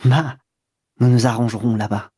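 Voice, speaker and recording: A reader voicing a cunning young man who calmly reassures his companion. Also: mid-range voice, moderate pace, dry recording, studio quality, lightly acted. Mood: reassuring. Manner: smooth, casual reassurance. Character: cunning young man, calm and self-assured. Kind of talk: audiobook character reading.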